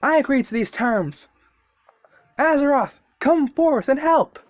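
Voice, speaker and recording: An amateur narrator voicing an earnest, idealistic young male hero, pitched high and pleading in a spoken fan story. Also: lively intonation, unhurried, dry recording.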